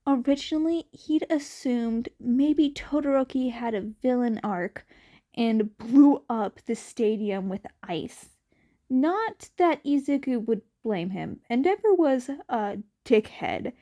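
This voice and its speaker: A woman reading a fanfiction story aloud in an unhurried, even storytelling manner. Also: fairly high pitch. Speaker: fanfiction reader.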